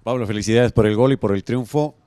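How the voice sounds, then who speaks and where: A man working as a reporter, congratulating a player and asking a measured question. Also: mid-range voice, mellow, no echo.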